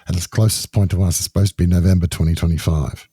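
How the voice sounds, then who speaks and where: A man guesting on a podcast, talking in a casual, measured, down-to-earth way, with a croaky voice. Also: sits low, fairly even intonation, dark tone, slight background noise. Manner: measured, down-to-earth, urges sticking to facts.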